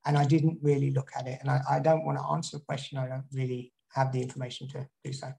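A man's voice: medium pitch, level tone, quick pace, vocal fry, dark tone. A man from the UK giving a talk and answering audience questions, with frequent restarts and fillers. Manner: rambling, many restarts. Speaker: guest speaker. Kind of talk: online talk and Q&A.

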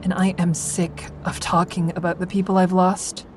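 A woman's voice: fairly low pitch, flat delivery, normal speed, a little mellow, slight background noise, lightly acted. A woman playing a sardonic, anxious insomniac, confiding dryly as she narrates a first-person audio drama. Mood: fed up, grieving. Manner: wry, confiding, dry.

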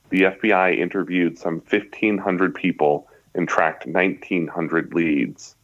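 Deep-voiced American man reading nonfiction. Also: no room sound. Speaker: guest author and journalist. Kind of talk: reading a nonfiction book passage aloud. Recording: slightly dull, lo-fi audio.

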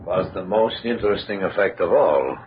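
Elderly scientist, raspy low voice, animated, formal hesitant phrasing, intense, dull vintage radio recording. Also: male voice, a little hiss or hum. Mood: formal, absorbed. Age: older. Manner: formal, deliberate, hesitant filler-laden phrasing. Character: elderly, exacting scientist and father, courteous but intense. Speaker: radio actor. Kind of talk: old-time radio drama acting.

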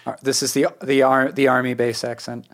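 A man's voice: mid-range voice, flat delivery, a little fast. A man with a New England accent, hosting a casual conversational podcast interview. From New England, United States. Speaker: podcast host.

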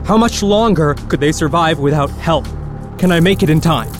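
An actor playing a weary, anguished and stubborn space traveler in an audio drama, muttering and exasperated. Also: male voice, a little high, normal speed, over music, lightly acted. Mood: agitated.

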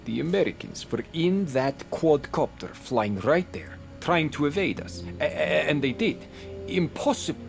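Smug bossy professor type, highish gravelly voice, slippery, audio drama w music under. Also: male voice, animated, a little slow. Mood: agitated, incredulous. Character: imperious, slippery male professor commanding a military operation.

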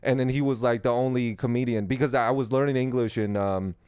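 Guy comedian on a podcast, mandarin first language, halting jokey chat, really dry sound. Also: medium pitch, steady tone, darkish tone, clear, resonant tone, no echo. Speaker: stand-up comedian, podcast guest. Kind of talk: casual, joking podcast chat.

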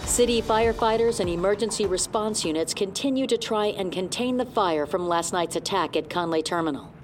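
A woman playing a formal, measured news reporter reading headlines in an audio drama. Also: mid-range voice, music in the background, lightly acted. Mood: serious, formal. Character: composed female radio news reporter. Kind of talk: scripted newsreading in audio drama.

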